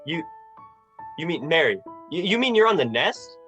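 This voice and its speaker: Young man as a flustered, earnest husband, highish voice. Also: big pitch swings, moderate pace, pushed and bright, faint music behind. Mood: astonished. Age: young adult. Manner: stammering, folksy, earnest. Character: earnest, flustered, hot-headed young small-town husband. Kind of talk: 1940s radio drama acting.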